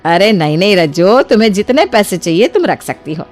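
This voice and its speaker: A woman voicing a trusting, soft-hearted older mother-in-law in a cartoon moral story, with an earnest, expressive delivery. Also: medium pitch, brisk, close to an everyday voice. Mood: eager, kindly. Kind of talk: animated Hindi moral story dialogue. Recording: music behind the voice. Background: music.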